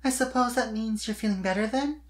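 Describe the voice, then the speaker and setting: A performer voicing a sweet, shy but earnest young female pony in a fan fiction reading, soft-spoken and tentative. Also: mid-range voice, fairly quick, voice pushed up, close to an everyday voice. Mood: curious, gently hopeful.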